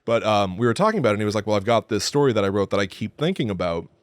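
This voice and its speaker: A man's voice: lowish voice, expressive, very fast, dry recording. A man co-hosting a podcast in a casual, chatty way. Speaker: podcast co-host.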